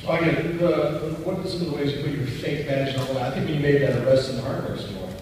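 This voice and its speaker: A man, an actor on a convention press panel, making quick joking asides. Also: mid-range voice, a little mellow. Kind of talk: casual panel answers. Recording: echoey hall, far from mic, noisy.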